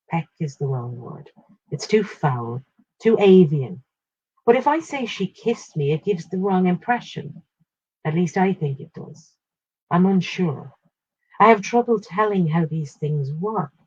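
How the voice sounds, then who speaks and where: A woman reading a literary first-person short story aloud in a measured, expressive way with pauses. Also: fairly low pitch, breathy edge, dull audio.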